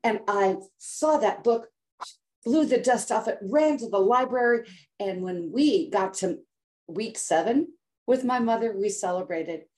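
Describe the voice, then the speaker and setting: An older American woman speaking as a podcast guest over a remote call, voice at an everyday middle pitch. She tells her story dramatically and acts out the words of others. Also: unhurried, a little mellow. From United States. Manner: dramatic, acts out quotes with voice shifts. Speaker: guest health coach. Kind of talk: emotional storytelling in interview.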